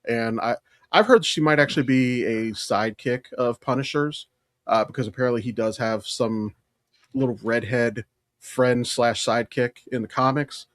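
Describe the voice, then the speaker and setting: An American man with a mid-pitched voice talks casually as a podcast co-host. He rambles and stops to think aloud, and the recording is dry with very little room sound. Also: fairly even intonation, normal speed, dry recording. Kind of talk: casual chat about comics and films.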